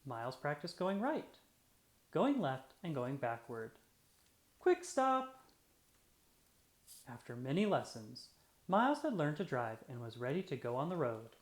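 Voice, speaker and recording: Storyteller reading a picture book to little kids, medium pitch that moves around a lot, roomy echo. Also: sing-song, unhurried, long pauses. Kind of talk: children's picture book read-aloud. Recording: roomy, echoey sound.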